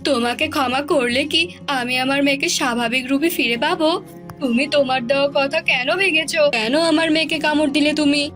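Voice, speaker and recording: High-pitched, woman acting as stern king and father, commanding, formal, cartoon fairy tale, faint music. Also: fairly even intonation, fairly quick, close to an everyday voice. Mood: stern, angry.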